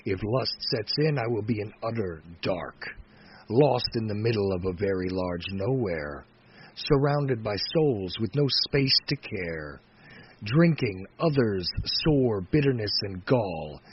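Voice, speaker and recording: Slow, low-voiced man reciting poetry, dull recording. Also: expressive, husky. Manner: solemn, measured, swelling from hushed line endings to emphatic phrases. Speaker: poetry reader. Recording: dull lo-fi recording with faint noise.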